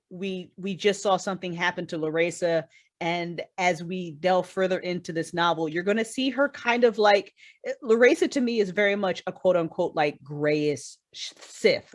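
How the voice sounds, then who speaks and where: Woman guest on a podcast, chatty and effusive, swears a lot, talks like a creator. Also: on the low side. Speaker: guest, writer and creator of an audio drama. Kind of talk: casual podcast chat.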